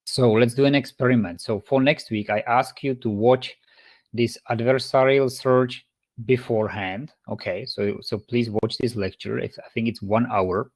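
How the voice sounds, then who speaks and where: A man's voice: medium pitch, fairly even intonation, normal speed. A man with a Czech accent giving an online university lecture over a video call, stopping and restarting often with many fillers. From Czech Republic.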